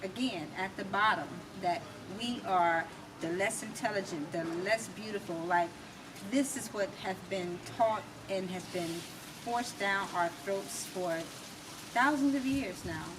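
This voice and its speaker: An American woman giving her opinions as an interview guest, emphatic and rhetorical, speaking in deliberate, repeated phrases. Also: medium pitch. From United States. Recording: distant mic with clear background noise.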